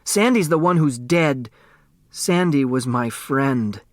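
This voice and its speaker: A man's voice: medium pitch, animated, slow, no room sound, clean studio sound. A man voicing a defiant, grieving young girl in a storybook, angry and protesting. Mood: angry grief.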